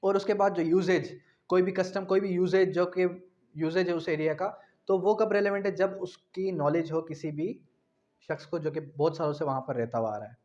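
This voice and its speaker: A man giving an explanatory law lecture, repeating key phrases slowly and asking rhetorical questions in a didactic way. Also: high-pitched, steady tone, a little mellow. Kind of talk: explanatory law lecture, mixing in English terms. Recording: dull, muffled lo-fi recording.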